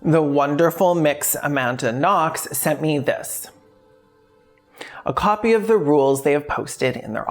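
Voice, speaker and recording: A video essay host talking in a candid, self-deprecating, wryly jokey way, conversational but scripted. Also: mid-range voice. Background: background music.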